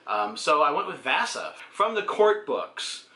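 A man in his forties talking casually about books as a co-host, in a halting, wry way. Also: on the high side, very animated, sharp tone. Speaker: book vlogger, co-host. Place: small room at home. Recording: roomy home video sound.

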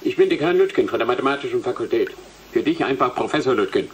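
A man's voice: mid-range voice, expressive, quick pace, slight background noise, lightly acted. A male actor playing a condescending mathematics dean in a German radio play, brusque and pompous.

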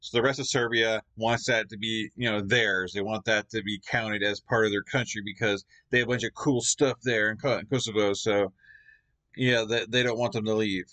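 Low-voiced man from Canada, reaction host, rambling, lots of fillers, casual chat at a desk mic at home. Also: fairly even intonation, normal speed, pushed and bright. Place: home gaming room.

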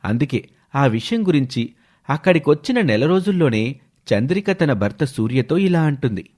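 A man's voice: mid-range voice, very animated, moderate pace, darkish tone. A man narrating a scripted story.

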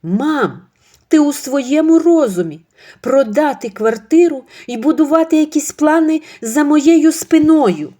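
A woman's voice: medium pitch, very animated, slow, a little mellow, breathy edge, exaggerated voice. A woman voicing a stern, curt young husband confronting his mother.

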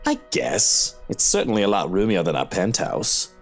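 A man's voice: lowish voice, wildly swooping pitch, normal speed, faint music behind, voice pushed down. A man voicing a sullen, dismissive young man.

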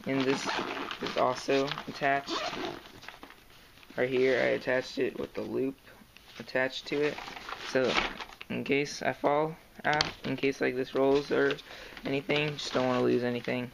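A young man talks softly and haltingly, with lots of fillers, as he points out gear for the camera. His voice is gravelly and stays on a flat, even pitch. A steady hiss from the handheld camera sits under the talk. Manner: soft-spoken, hesitant, lots of fillers. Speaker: kayak angler showing his gear. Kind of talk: casual show-and-tell vlog. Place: indoors, carpeted room at home. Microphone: handheld camera close to the gear. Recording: noisy home camera recording.

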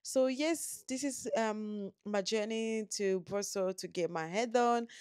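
A woman vlogger chatting casually about her day, repeating words and quoting people in quick bursts. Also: medium pitch, a little mellow, clean tone, dry recording. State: tired after no sleep. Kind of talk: casual vlog recap.

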